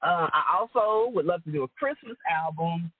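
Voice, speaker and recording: A woman with a deep alto voice, chatting casually as a guest and laughing often. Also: lively intonation, moderate pace, husky, dry recording. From Rome, Georgia, US. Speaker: guest singer and entertainer. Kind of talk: casual chat. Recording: dry phone-line call audio.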